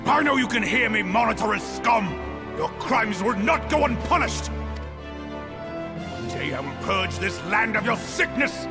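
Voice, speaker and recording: An actor playing a grim, vengeful warrior general in a fantasy game, declaiming with anguish. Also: male voice, high-pitched, sing-song, a little slow, creaky, music in the background, full character voice. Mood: furious. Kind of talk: dramatic game voice acting. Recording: long hall reverb.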